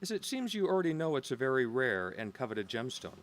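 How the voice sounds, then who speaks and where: An actor playing a courteous, condescending gemstone dealer in an audio drama, speaking formally. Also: male voice, medium pitch, big pitch swings, brisk, fairly bright tone. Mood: smooth, dismissive. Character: polite, shrewd male gemstone auction dealer.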